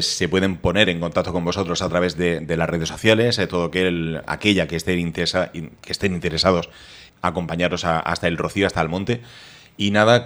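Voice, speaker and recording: Deep-voiced chatty radio host. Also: male voice, a bit bright. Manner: chatty, rambling with fillers. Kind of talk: radio interview.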